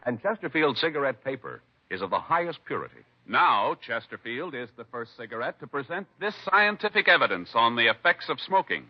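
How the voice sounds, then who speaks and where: Husky, swooping vintage radio announcer man. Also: medium pitch. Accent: American. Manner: emphatic, formal, salesmanlike. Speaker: radio announcer, commercial pitchman. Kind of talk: 1950s radio advertising read. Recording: muffled vintage radio recording.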